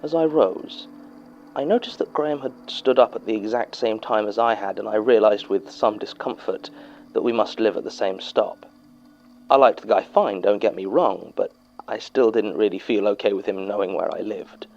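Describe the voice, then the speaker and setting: A British archivist played by a man reads an eerie testimony in a horror audio drama. His voice sits low with a gravelly creak, measured and confiding, as if remembering aloud. The recording is slightly dull and muffled. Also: darkish tone, slight background noise, close to an everyday voice. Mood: uneasy, confiding. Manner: measured, confiding, with scripted hesitations. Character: archivist reading aloud a witness's unsettling statement, formal then conversational. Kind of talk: dramatic first-person horror narration.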